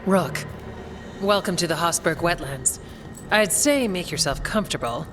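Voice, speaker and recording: Low, composed fantasy scout woman played by an actress. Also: animated, moderate pace, noisy background, close to an everyday voice. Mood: grave, composed.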